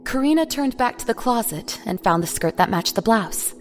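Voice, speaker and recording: A woman's voice: a little high, big pitch swings, moderate pace, soft background music. A woman reading scripted narration for an audio drama.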